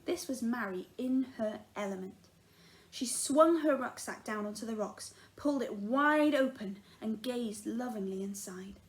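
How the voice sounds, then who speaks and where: A woman narrates a children's story in a fairly high voice. She reads expressively, like a teacher at storytime, and brings the dialogue to life. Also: a little slow, low-quality recording. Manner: animated, acts out the dialogue. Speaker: teacher reading a children's book aloud.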